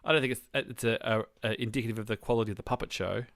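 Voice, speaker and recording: A man's voice: mid-range voice, racing, no echo, clean studio sound. A man co-hosting a casual podcast, joking and talking in loose restarts. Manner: relaxed, joking, trailing restarts. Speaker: podcast co-host. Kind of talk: casual chat.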